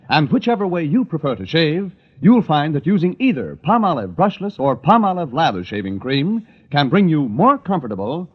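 A man's voice: on the high side, slightly rough. A man reading a 1940s radio commercial in an emphatic, scripted sales style. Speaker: commercial announcer. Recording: muffled old radio recording.

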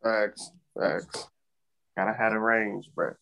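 A man's voice: fairly low pitch, steady tone, slow pace, creaky, bright, forceful tone, slight background noise. A man in casual chat with friends, easygoing and stumbling over his words.

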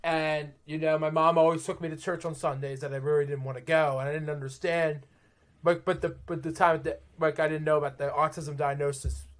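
Earnest young man, fairly high voice. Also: level tone, brisk. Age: 20s. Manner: earnest, eager, repeats words. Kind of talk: casual podcast conversation.

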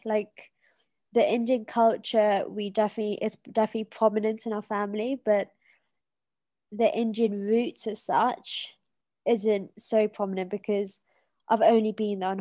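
A woman's voice: medium pitch, mellow, no room sound. Young british-accented woman on a podcast, kinda hesitant, lots of ums, dry sound. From Kenya. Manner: reflective, hesitant, many fillers and restarts. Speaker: podcast guest. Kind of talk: casual conversation.

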